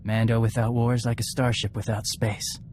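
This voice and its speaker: An earnest young male warrior from a game cutscene, acted by a man, with a medium-pitched voice. He is terse and plain-spoken, hungry for a fight, with faint noise behind him. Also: flat delivery, moderate pace, darkish tone, close to an everyday voice. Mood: earnest, restrained. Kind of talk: video game cutscene acting.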